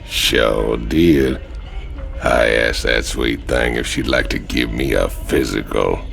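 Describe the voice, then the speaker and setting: An actor playing a gruff, crude space outlaw with a drawling Southern US accent in a video game. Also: male voice, extremely low pitch, takes it slowly, a bit bright, full character voice. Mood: teasing. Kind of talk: video game cutscene acting. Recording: music behind the voice.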